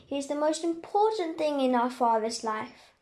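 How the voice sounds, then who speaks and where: A woman playing an anguished brother who pleads to be enslaved in another's place, in a halting amateur dramatic reading. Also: high voice, expressive, a little mellow. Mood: pleading.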